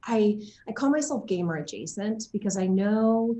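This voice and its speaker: A woman's voice: mid-range voice, normal speed, a little hiss or hum. Chatty, effusive woman in conversation. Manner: chatty, effusive, animated storytelling. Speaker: interview guest, game voice and motion capture actor. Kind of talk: casual chat in an interview.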